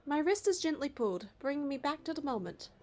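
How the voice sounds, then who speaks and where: A woman's voice: high-pitched, very animated, fast talker, a little mellow. A woman reading scripted story narration and dialogue aloud for a game playthrough. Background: background music.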